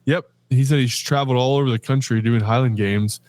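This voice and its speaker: A man's voice: mid-range voice, fairly even intonation, fairly quick, a little mellow, no room sound. Chatty man rambling through his day. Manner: rambling, chatty storytelling with many fillers. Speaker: podcast co-host. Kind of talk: casual chat.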